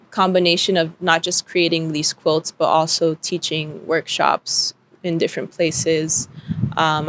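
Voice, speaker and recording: Woman panelist, low flat delivery, reflective and halting. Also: a little hiss or hum. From Dominican and Peruvian family. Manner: thoughtful, many pauses and fillers. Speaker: artist panelist. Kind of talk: panel discussion.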